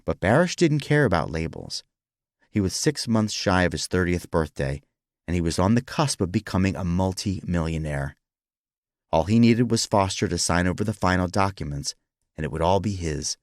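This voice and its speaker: Deep, expressive male narrator. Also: dry recording, clean studio sound. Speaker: audiobook narrator. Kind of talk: scripted dramatic narration of historical fiction.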